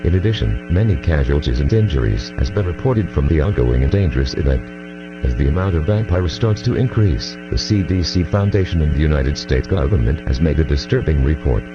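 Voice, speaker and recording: A man's voice: rumbling low, lively intonation. A man playing a grave emergency alert announcer, reading a scripted broadcast formally and steadily, in dull audio over music. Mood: grave, official. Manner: formal, measured.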